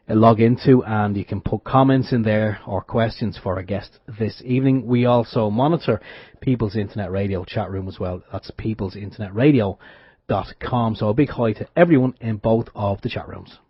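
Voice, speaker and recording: A man's voice: lowish voice, slightly rough, no echo. A man co-hosting an internet radio show, talking casually as he gives out announcements. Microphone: close studio microphone. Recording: dull lo-fi internet radio stream.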